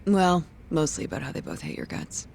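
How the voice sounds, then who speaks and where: A teasing office worker in a video game. Her voice is low with vocal fry and moves expressively in pitch. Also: huge pitch swings, fairly quick, a little mellow, slight background noise, full character voice. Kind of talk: video game cutscene acting.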